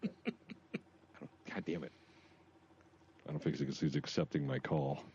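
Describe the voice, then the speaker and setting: A man's voice: very deep voice, takes it slowly, a little mellow, slight background noise. A man co-hosting a podcast in casual chat, with crude, profane joking, on a slightly muffled recording. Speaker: podcast co-host.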